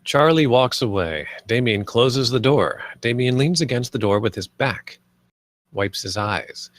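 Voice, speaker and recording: A man's voice: mid-range voice, lively intonation, measured pace, no room sound, clean studio sound. A man reading stage directions as the narrator of a film script read. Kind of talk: scripted narration, present tense.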